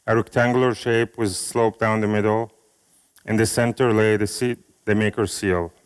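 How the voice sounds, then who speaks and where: A man from Syria whose first language is Arabic, giving a slow, measured reading of a speech and a poem at an event. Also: lowish voice, steady tone, smooth, poor audio. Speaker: speaker at an event.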